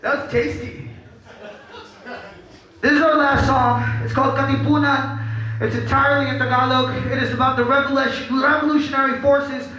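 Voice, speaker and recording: A man's voice: very high-pitched, little pitch movement, moderate pace, bright, forceful tone. A punk band frontman talking casually between songs on a live club stage, joking and swearing through a stage mic. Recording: echoey live club with crowd.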